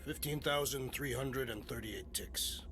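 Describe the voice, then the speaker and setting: A man voices a stern, suspicious alien warrior in a video game. His delivery is formal and deadpan at a medium pitch, with clear music behind it. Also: unhurried, lightly acted. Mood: solemn. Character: stern, literal-minded, distrustful male alien warrior. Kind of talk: video game character acting.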